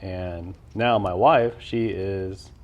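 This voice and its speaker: Young male host, laid-back and conversational, low and gravelly. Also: fairly even intonation, drawn-out words. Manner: laid-back, many murmured backchannels. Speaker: podcast host. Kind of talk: casual interview chat.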